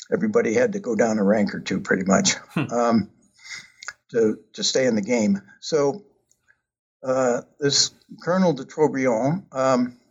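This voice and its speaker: A man talking about a book he co-wrote, meandering and hesitant, with many ums and restarts. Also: medium pitch. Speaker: author discussing his book. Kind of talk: casual spoken talk with citations. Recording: dull lo-fi sound.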